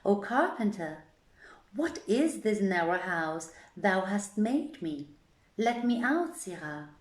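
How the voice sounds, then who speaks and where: A woman's voice: mid-range voice, big pitch swings, slow pace. Woman voicing a proud young lion, echoey room. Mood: distressed. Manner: imperious, archaic, commanding speech. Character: proud young male lion whelp, imperious and boastful. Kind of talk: dramatised reading of an old folk tale. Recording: echoey, roomy sound.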